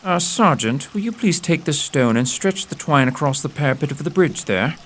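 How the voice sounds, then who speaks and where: A man's voice: on the high side, expressive, moderate pace. Man acting a precise, self-assured detective. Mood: brisk, intent. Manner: precise, formal, old-fashioned address. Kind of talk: audio theatre acting.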